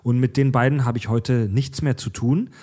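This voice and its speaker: A man's voice: mid-range voice, steady tone, moderate pace, mellow. Blunt, heated podcast host man. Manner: blunt, swears freely, heated. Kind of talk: casual podcast chat.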